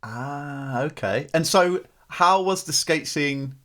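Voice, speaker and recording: A man's voice: fairly high pitch, takes it slowly, sharp tone. A man hosting a podcast, asking questions in casual, conversational interview style.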